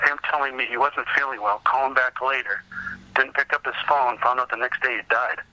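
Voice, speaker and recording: Sharp-voiced man telling halting anecdotes, lo-fi. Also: mid-range voice, fairly even intonation, dry recording. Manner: halting, rambling anecdotal delivery. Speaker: podcast guest, comics researcher. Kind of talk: casual interview storytelling.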